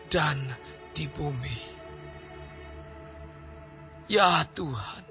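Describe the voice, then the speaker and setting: A stately, aged king declaims slowly and reverently in a Bible audio drama. His voice sits a little high for a man, with deliberate, solemn phrasing. Music plays clearly behind the slightly dull recording. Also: sharp tone, exaggerated voice. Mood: reverent, exalting.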